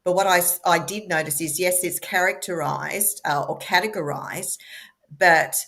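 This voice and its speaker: Deep gravelly woman guest, slow and measured, lots of ums. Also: fairly bright tone. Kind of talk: talk show conversation.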